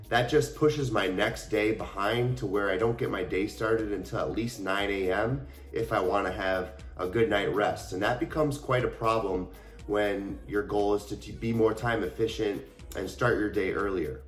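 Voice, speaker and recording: A man's voice: lowish voice, echoey room. A young adult man, a fitness coach, talking casually and earnestly to his viewers with a stuffy voice from a cold. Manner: earnest, explanatory, addresses viewers directly. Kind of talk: casual talk to camera.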